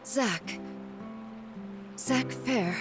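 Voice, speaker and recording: A woman voicing a kindly, hopeful middle-aged mother in a video game, speaking gently and with concern. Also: fairly high pitch, drawn-out words, music in the background. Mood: anxious, hopeful. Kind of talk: English-language video game voice acting.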